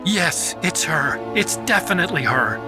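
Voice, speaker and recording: An actor playing a nervous, earnest, soft-hearted young male scientist in a video game, with a breathy high voice. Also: animated, normal speed, over music. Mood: uneasy. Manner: anxious, pleading.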